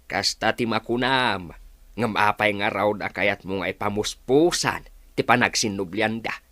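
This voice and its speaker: A man's voice: lowish voice, a little slow, bright, forceful tone, dry recording. A man playing a chiding, exasperated older village husband in an Ilocano radio comedy drama, with an Ilocano accent.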